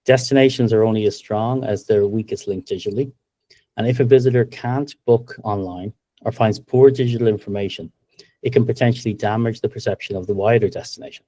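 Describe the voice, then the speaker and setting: A middle-aged Irish man giving a business webinar presentation over a video call, upbeat and steady, with frequent ums. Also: fairly low pitch, brisk, dry recording. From Ireland. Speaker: webinar presenter, company co-founder.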